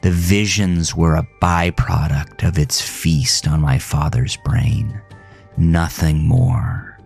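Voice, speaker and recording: A man with a very low voice narrates a first-person horror story. His delivery is somber and dramatic, with deliberate phrasing and a heavy vocal fry. Music plays softly behind him. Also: steady tone.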